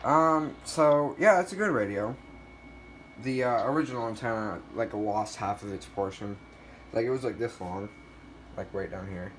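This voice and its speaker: A man's voice: lowish voice, normal speed, fairly bright tone, slight background noise. A man talking casually and off the cuff as he shows items in a collection, a bit self-deprecating. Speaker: collector showing a weather radio collection. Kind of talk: casual unscripted show-and-tell.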